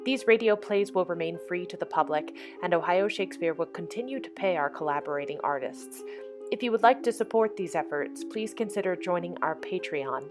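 A woman reads scripted broadcast announcements in a slightly low voice. Theme music plays clearly behind her. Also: lively intonation, brisk.

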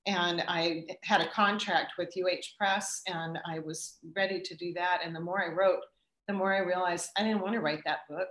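A middle-aged woman answering audience questions after her talk, thoughtful and pausing as she restarts her sentences. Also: lowish voice, fairly even intonation, fairly bright tone, echoey room, poor audio. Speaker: guest speaker.

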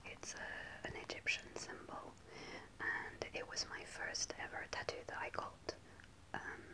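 A young woman telling a personal story in a soft, hesitant ASMR whisper, close to the camera in a small room. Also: measured pace, rough recording. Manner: soft unvoiced whisper, hesitant with many ums. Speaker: ASMR creator. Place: small indoor room before a starry backdrop. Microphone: close to a camera at face level.

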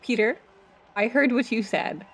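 A woman's voice: on the high side, a little slow, lightly acted. A woman playing a blunt, exasperated young journalist in an improvised parody game dub, with a sarcastic delivery. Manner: sardonic. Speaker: voice actor in a comedy fan dub. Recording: loud noise behind the voice.

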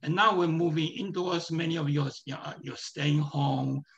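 A man with a hoarse, strained voice giving a live screen-share presentation, talking in a halting way with many fillers and restarts. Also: on the high side, fairly even intonation, a bit bright, dull audio.

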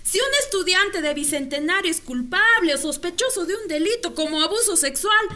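Very high, indignant woman teacher. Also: animated, brisk, pushed and bright, full character voice. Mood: indignant, insistent. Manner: earnest, challenging. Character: indignant, principled woman schoolteacher. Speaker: radio drama actor. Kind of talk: radio drama acting.

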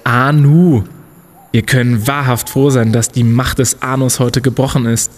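An actor playing a clever, confident young student sleuth in a comic radio play, explaining things in a deliberate, declamatory way. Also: male voice, medium pitch, steady tone, close to an everyday voice. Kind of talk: German comedic audio drama acting.

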